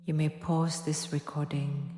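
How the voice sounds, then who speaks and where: A woman teaching a guided yoga nidra meditation in a soft, soothing, unhurried way with long pauses. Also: sits low, little pitch movement, background noise. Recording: echoey long reverb, music behind.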